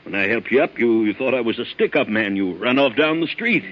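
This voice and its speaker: An actor playing a worried, folksy captain in 1950s radio drama, speaking colloquially and repeating himself reassuringly. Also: male voice, medium pitch, animated, brisk, lightly acted. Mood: urgent, frank. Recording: muffled, hissy vintage radio recording.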